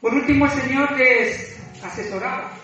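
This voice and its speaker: A man preaching informally, exhorting and confessing, halting and self-deprecating, often repeating his phrases. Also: extremely high pitch, pushed and bright. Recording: echoey room, distant mic, muffled, music behind.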